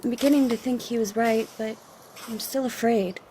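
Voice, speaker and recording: A woman acts out a young desert refugee in a cinematic audio drama. Her voice sits fairly high, earnest and reassuring, devout and protective yet fearful, over faint background ambience. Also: steady tone, moderate pace, dark tone, a little hiss or hum, close to an everyday voice. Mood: earnest, reflective.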